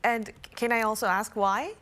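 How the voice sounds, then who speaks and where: A woman's voice: on the high side, moderate pace, a bit bright. Upbeat woman hosting news interview. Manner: upbeat, friendly questions. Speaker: news program host. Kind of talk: interview moderating.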